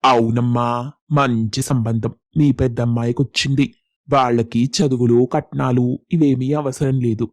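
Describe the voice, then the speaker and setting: A man's voice: medium pitch, steady tone, measured pace, darkish tone, dry recording, studio quality, close to an everyday voice. A man playing a polite, matter-of-fact village priest in a moral story, explaining things courteously. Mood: polite, informative. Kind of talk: Telugu moral story dialogue.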